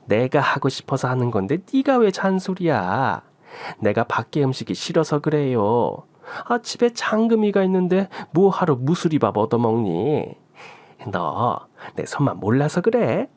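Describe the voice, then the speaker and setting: A man's voice: mid-range voice, big pitch swings, measured pace, no room sound. A man voicing a doting mother-in-law near sixty who scolds with fussy affection. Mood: scolding.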